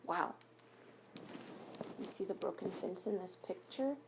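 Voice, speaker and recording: A woman reading a picture book aloud to children like a school teacher, pausing to explain things and ask questions. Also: on the low side, a little hiss or hum, rough recording. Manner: instructive, asks listeners questions. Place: home basement by a fire.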